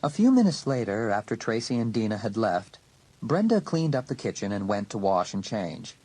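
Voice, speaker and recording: Husky audiobook narrator. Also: mid-range voice, very animated, normal speed, warm tone. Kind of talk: scripted dramatic story narration.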